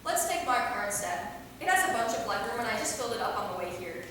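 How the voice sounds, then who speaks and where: A woman's voice: on the high side, big pitch swings, quick pace, a bit bright. A woman playing a cocky, goofy immortal vampire troublemaker in a staged reading, joking and slangy. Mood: breezy. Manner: joking, slangy, self-amused. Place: theater stage, recorded from a distance. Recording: very echoey hall, far mic, noisy.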